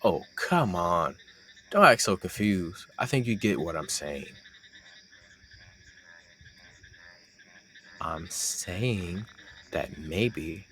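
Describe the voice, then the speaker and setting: A man playing a shy, teasing young man secretly in love with his friend, in an intimate audio roleplay with a halting delivery. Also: low-pitched, lively intonation, fairly bright tone, slight background noise, exaggerated voice. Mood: playful, teasing.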